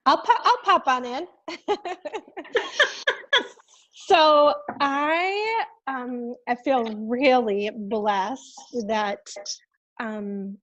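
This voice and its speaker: A woman co-hosting a podcast over a remote call, speaking in a soft, reflective way. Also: a little high, very slow, long pauses, muffled recording. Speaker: podcast co-host. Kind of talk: casual chat. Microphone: remote call, echoing.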